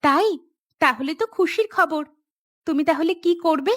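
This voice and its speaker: A woman voicing a young, dutiful and brisk daughter-in-law in a village cartoon. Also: extremely high pitch, a bit bright, no room sound, studio quality. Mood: questioning.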